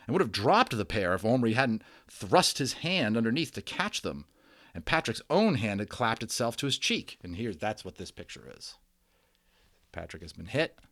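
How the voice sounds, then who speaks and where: A man reading a children's book aloud as a storyteller, expressive and dramatic, with conversational explanations for listeners. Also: fairly low pitch, no echo, clean studio sound. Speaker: storybook reader.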